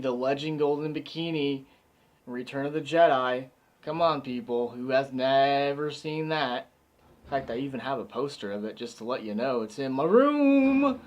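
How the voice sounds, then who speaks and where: Man, unhurried rambling review, eager, a bit hoarse, lots of fillers. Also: medium pitch. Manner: enthusiastic, rambling with many fillers. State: slightly hoarse, losing his voice. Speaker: movie reviewer. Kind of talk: casual spoken movie review.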